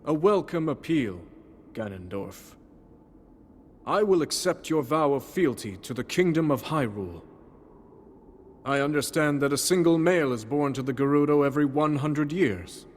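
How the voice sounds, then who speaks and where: Man playing a stately, calm noble king. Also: fairly high pitch, normal speed, a little hiss or hum, close to an everyday voice. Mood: gracious, regal. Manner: stately, formal, assured. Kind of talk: dramatic video game cutscene acting.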